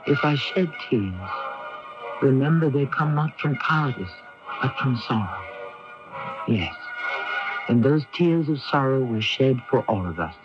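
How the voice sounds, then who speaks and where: A man narrating as host of a scripted radio mystery in a dramatic, measured, reflective manner. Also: medium pitch. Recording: dull 1980s radio broadcast, faint music.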